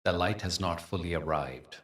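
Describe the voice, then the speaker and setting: A man leading a guided meditation as the teacher, soothing and unhurried, with measured phrasing and long pauses. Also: low-pitched, a bit bright, distant mic.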